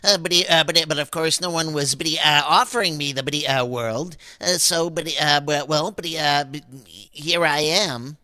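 A man voicing a nervous, flustered oddball who stammers haltingly in a comedic audio drama. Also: on the high side, sharp tone. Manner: halting, stammering, repeats filler words.

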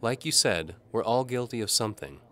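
A man's voice: medium pitch, expressive, a little fast, background noise, pitched above the performer's own voice, close to an everyday voice. A man voicing a guarded, regretful woman who speaks cryptically in an audio drama. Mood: cryptic, resigned.

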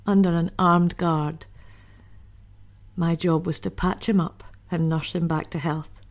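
Wartime army nurse played by a woman, lowish female voice, sombre, reflective, dutiful, audio drama monologue. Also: steady tone, a little slow, close to an everyday voice. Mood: sombre recollection. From Scotland.

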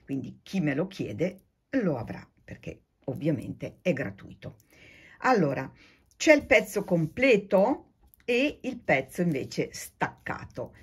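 A woman's voice: low voice, very animated, slow, husky. An older Italian woman with an Italian accent, patiently explaining a quilting project to viewers in a casual craft tutorial. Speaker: quilting teacher.

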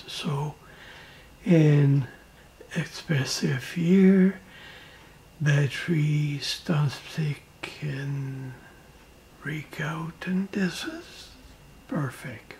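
A man narrating a hands-on electronics tutorial in halting, clipped short phrases, with a breathy voice that trails off into whispers. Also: a little high, dark tone, a little hiss or hum. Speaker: electronics project presenter.

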